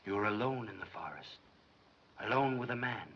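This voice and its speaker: A stern schoolmaster turned inquisitor, with a low, forceful, cutting voice. He questions imperiously and insinuates with relish, as in an old film drama. The sound is dull, with some background noise. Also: lively intonation, moderate pace. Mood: insinuating. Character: stern bullying schoolmaster, prying and vindictive inquisitor.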